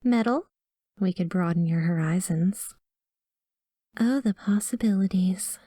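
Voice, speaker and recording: A woman's voice: on the low side, a little slow, dark tone, no room sound, clean studio sound. A woman playing a breezy, cutesy female doctor with an obsessive, coaxing streak in an ASMR roleplay. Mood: smitten, possessive. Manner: breezy, cutesy, coaxing, blithely delivering grim news. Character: chirpy, awkward female doctor, secretly an obsessive yandere stalker. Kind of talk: ASMR roleplay acting addressed to the listener. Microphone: close studio mic.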